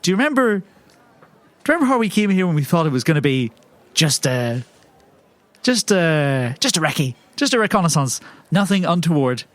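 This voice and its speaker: An exasperated, frightened investigator acted by a man in improvised comic roleplay. The voice sits fairly high and moves around a lot, insistent and sarcastic. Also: big pitch swings, normal speed, no room sound.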